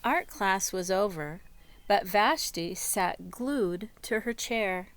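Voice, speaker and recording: Woman, children's story narrator, slow and deliberate, medium pitch, read-aloud style. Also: fairly bright tone, slight background noise. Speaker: storybook reader.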